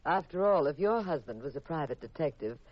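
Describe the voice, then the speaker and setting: Low-voiced, wry detective's wife. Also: female voice, animated, brisk, no echo. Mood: wry storytelling. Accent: American. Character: poised detective's wife narrating her mystery, adult woman. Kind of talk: 1950s radio drama narration. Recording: dull old radio recording with music.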